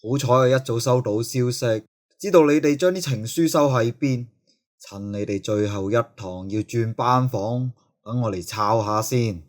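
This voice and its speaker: Sly Hong Kong schoolmaster, medium pitch played by a man. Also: normal speed, close to an everyday voice. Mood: smug, scheming. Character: sly, scheming male schoolteacher. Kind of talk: youth radio drama acting.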